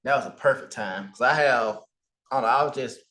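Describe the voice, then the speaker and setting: A man appearing as a guest on a podcast, explaining credit and travel hacking advice in a calm, measured way. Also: medium pitch. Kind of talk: casual podcast interview.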